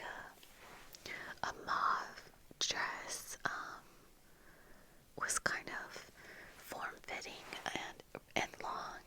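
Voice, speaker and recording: A young woman speaking in a breathy whisper, chatting casually in ASMR style while doing her makeup, close to the microphone. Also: low-quality recording. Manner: slow, soft-spoken, pausing while applying makeup. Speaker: ASMR video creator. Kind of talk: whispered ASMR get-ready-with-me chat. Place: small indoor room with bookshelves. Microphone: close fluffy-covered desk microphone.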